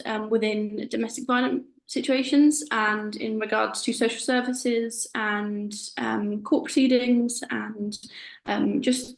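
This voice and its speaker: Flat, gravelly woman, halting, roomy echo. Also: mid-range voice. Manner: halting, many fillers. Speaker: support worker. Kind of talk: sharing in a group discussion.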